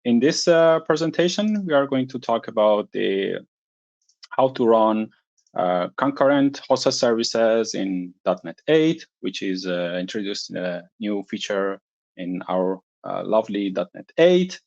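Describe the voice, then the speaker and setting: Man, Iranian accent, gravelly mid-pitched voice, halting tech talk delivery, slightly muffled recording. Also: animated, a little mellow, dry recording. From Iran. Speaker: conference speaker, software engineer. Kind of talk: technical presentation.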